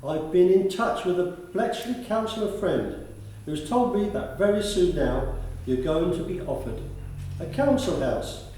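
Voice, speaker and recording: An actor playing a kindly, talkative school attendance officer in a radio play, with polite and formal manners. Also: male voice, sits high, expressive, measured pace, dark tone, slight background noise. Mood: friendly. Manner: courteous, formal address, talkative. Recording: very echoey room, distant from the mic.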